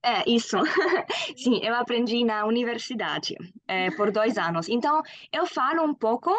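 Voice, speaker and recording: A woman's voice: fairly high pitch, expressive, a little slow, fairly bright tone, dry recording. A German woman in her twenties, a guest in an interview, speaking Portuguese with a German accent, hesitant with many fillers and frequent laughs. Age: 20s. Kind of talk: casual interview.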